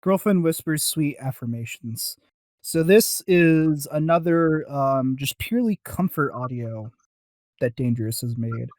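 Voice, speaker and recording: A man's voice: on the high side, animated, unhurried, darkish tone, no room sound. A man co-hosting a casual review podcast, talking in a rambling, self-deprecating way. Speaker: podcast co-host.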